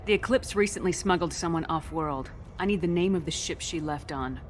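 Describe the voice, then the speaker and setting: A woman's voice: on the low side, fairly quick, slight background noise, lightly acted. A woman playing a tough, blunt military commander in a video game, speaking firmly and to the point. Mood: stern. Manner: blunt, firm, businesslike. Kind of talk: video game dramatic acting. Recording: long reverb, music behind.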